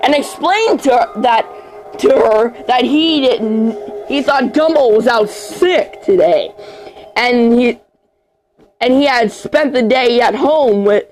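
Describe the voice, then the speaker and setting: Amateur creepy-story reader, slow and halting, mid-range voice, frequent stumbles, prominent music underneath. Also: medium pitch, animated, a little mellow, rough recording. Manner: halting, stumbles over words and repeats phrases. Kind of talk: amateur horror story reading.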